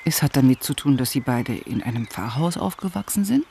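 A man's voice: mid-range voice, animated, a little fast, vocal fry, dark tone, a little hiss or hum. An actor playing a probing, inquisitive man who speaks precisely and asks questions in radio play dialogue. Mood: probing, composed. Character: probing, inquisitive conversation partner discussing a client.

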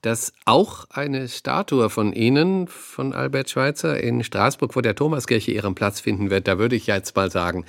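A man's voice: mid-range voice, normal speed, vocal fry, hardly any pauses, no room sound, clean studio sound, lightly acted. A man playing a polite, attentive talk show host in a radio play, conversational and courteous. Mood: courteous, congratulatory.

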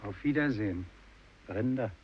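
Casting: man playing furtive village fisherman, low hushed murmur, terse lines, muffled and noisy old radio recording. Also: animated, normal speed, natural voice. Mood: mournful. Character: secretive village fisherman fleeing home with his neighbours. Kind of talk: choral radio drama lines.

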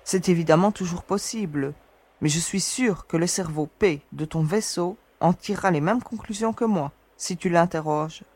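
An actress playing a shrewd, confident young woman explorer who speaks in a calm, assured and mildly teasing way. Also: on the low side, normal speed, breathy edge. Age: young adult. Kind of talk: audio drama acting.

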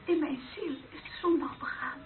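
A woman's voice: high-pitched, moderate pace, soft background music, lightly acted. A woman in a radio play acting an anguished, resolute young nurse who confesses a mortal sin. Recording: tinny old radio play recording.

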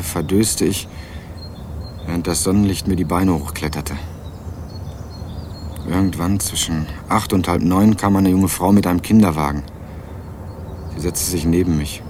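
A man's voice: deep voice, background noise. Dry young male radio-drama narrator. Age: young adult. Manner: dry, ironic, measured. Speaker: narrator and main character. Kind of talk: first-person crime radio drama narration.

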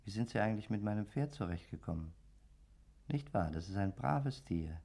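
Low-voiced courteous, mocking ghost. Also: male voice, lively intonation, normal speed, gravelly, dark tone. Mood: polite, bored. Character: polite, sardonic ghost of a murdered man. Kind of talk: German radio play acting.

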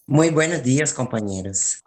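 An interview guest explaining a collective's work in halting phrases with repetitions. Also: medium pitch, animated, a little mellow. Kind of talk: spoken radio interview, explaining.

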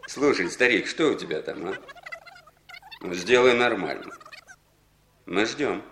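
A man's voice: fairly low pitch, animated, measured pace, creaky, fairly bright tone, slight background noise, heavily acted. An actor playing a jovial, cynical male intelligence agent in a 1960s Soviet radio play, talking in a casual, bantering manner. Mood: brisk, businesslike.

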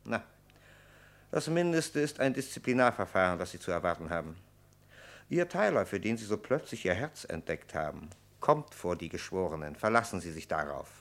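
Officious federal man, rather low pitch, sneering, self-assured, threatening, radio crime drama. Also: big pitch swings, normal speed.

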